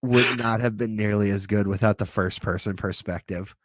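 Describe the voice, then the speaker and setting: A man's voice: on the low side, steady tone, normal speed, no echo, clean studio sound. A man co-hosting a podcast, giving emphatic opinions on films and games in casual conversation. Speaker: podcast co-host. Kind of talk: casual film and game discussion.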